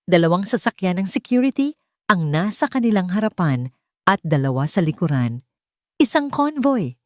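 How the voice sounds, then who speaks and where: A woman with a Filipino accent narrating a scripted romance story as an audiobook, in a measured, dramatic way. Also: on the low side, big pitch swings, a little mellow, no room sound, studio quality.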